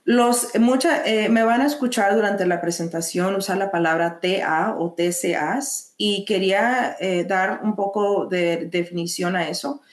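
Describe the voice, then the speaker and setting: A woman with a Mexican accent presenting a mental health lesson, measured, pausing often to restart phrases. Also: mid-range voice, roomy sound. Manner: measured, with frequent hesitations and restarts. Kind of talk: educational webinar presentation.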